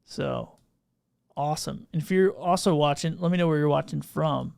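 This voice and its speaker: A man with a slightly high voice and a clearly creaky edge hosts a casual livestream. He talks off the cuff and thinks aloud in an informal way. The sound is crisp and clean. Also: normal speed, darkish tone, no room sound, studio quality. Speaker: livestream podcast host. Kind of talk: casual unscripted livestream chat. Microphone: podcast microphone through audio mixer.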